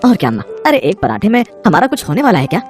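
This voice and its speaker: A woman's voice: mid-range voice, wildly swooping pitch, very fast, faint music behind. A woman voicing a friendly, chatty young male customer at a food stall in a story. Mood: pleased.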